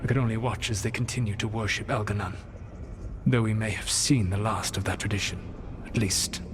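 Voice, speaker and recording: A man playing an ancient, proud and regretful elven rebel in a video game, speaking formally and deliberately in a measured, solemn way. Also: lowish voice, fairly even intonation, in a large hall, a little hiss or hum, lightly acted. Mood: regretful, grave. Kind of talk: video game dialogue acting.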